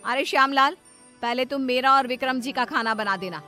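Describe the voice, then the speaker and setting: Storybook role: domineering elder housewife, female performer, high-pitched, commanding, quick-tempered, faint music. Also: pushed and bright. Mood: bossy. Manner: scolding, commanding. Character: hot-tempered, domineering elder sister-in-law, a nagging wife. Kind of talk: moral story dialogue.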